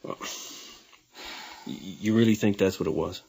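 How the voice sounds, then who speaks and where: A man's voice: lowish voice, moderate pace, vocal fry, warm tone, breathy edge. An actor playing an anxious, troubled American father in a radio drama, hesitant and defensive in his speech. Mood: defensive, uneasy. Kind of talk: naturalistic radio drama acting.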